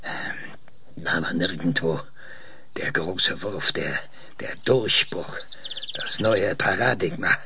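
An actor playing an opinionated, irritable scientist in a radio drama, with a husky rasp and an emphatic, halting, lecturing manner. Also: male voice, deep voice, background noise, heavily acted. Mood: scornful, agitated. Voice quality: husky, breathy rasp with creak. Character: opinionated, irritable male research scientist being interviewed. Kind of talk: naturalistic radio drama acting.

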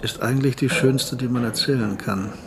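A man's voice: medium pitch, fairly even intonation, normal speed, over music, close to an everyday voice. An actor playing a reflective, philosophical man in radio drama dialogue, musing as he explains.

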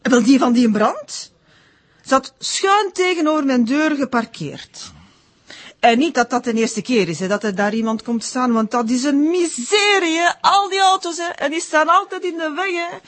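An actress playing a middle-aged Flemish witness in a crime drama, chatty and rambling, eager to help. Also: female voice, high-pitched, normal speed, close to an everyday voice. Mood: grumbling, gossipy. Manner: rambling, digressive, tag-question habit. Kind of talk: naturalistic TV crime drama acting.

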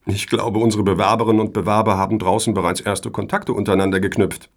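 A man's voice: lowish voice, level tone, fast talker. A man playing a formally polite but pointed foundation official in a satirical radio play, with an officious way of talking. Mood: politely pointed.

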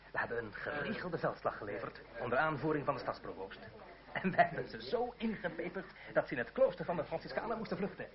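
An actor playing a proud, eager revolutionary delegate in a radio drama, talking in an emphatic, rhetorical way. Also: male voice, mid-range voice, lightly acted. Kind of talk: theatrical radio drama acting. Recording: dull old radio recording, busy crowd behind.

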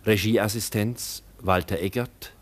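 A man announcing radio play credits in a formal, measured and precise broadcast style. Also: lowish voice, steady tone.

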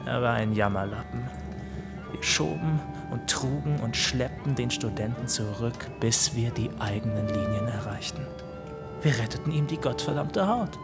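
An actor in a German radio play playing a boastful, bossy, naive young American soldier, short and fat, with an emphatic, self-important manner. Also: male voice, mid-range voice, expressive, moderate pace, gravelly, over loud music.

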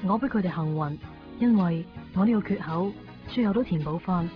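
An actress playing an anxious, sentimental young shopkeeper with a Hong Kong Cantonese accent in a radio drama. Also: female voice, on the low side, fairly quick, lightly acted. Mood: wistful. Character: anxious, sentimental young woman shopkeeper named Chui-bik. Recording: dull 1990s radio, loud music behind. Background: music.

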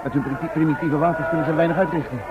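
An actor playing a practical, educated time traveller in a vintage Dutch radio drama, with a matter-of-fact manner. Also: male voice, a little high, steady tone, racing, natural voice. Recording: dull old radio, loud noise, crowd.

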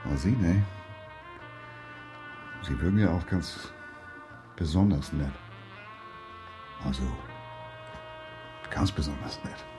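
An actor playing a naive, uncouth junior detective in a radio crime comedy, colloquial, hesitant and clumsily chummy. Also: male voice, a bass voice, takes it slowly, pauses often, over music. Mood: flustered, chummy. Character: naive, uncouth male junior detective, good-natured and bumbling.

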